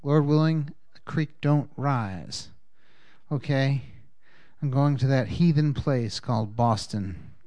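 Halting man leading church prayer. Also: medium pitch, dark tone, highs cut off. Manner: halting, many fillers and restarts, folksy. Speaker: congregation leader.

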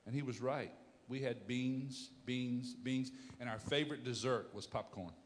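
A pastor in his fifties preaching a sermon, emphatic, swinging from loud bursts to hushed storytelling. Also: mid-range voice, measured pace, in a large hall.

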